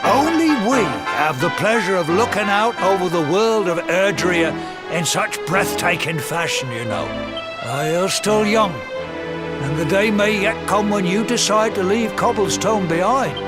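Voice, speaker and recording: A man's voice: high-pitched, animated, measured pace. An actor playing a kindly old village elder and doting grandfather in a video game, folksy and avuncular. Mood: proud, wistful. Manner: folksy, avuncular, savouring his words. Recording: loud game music behind voice. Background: prominent orchestral game music.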